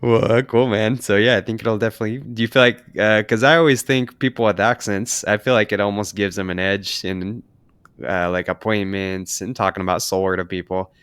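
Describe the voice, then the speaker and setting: A man's voice: on the low side, steady tone, brisk, a bit bright, clean tone, no room sound, studio quality. A man hosting a podcast, asking long, rambling questions packed with fillers. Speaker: podcast host. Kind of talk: casual podcast interview.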